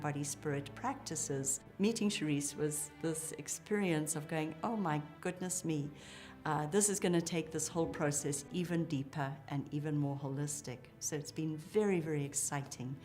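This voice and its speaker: Middle-aged woman, very low voice, articulate, enthusiastic, faint music behind. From Zimbabwe. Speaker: psychologist, clinic co-founder. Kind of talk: interview account.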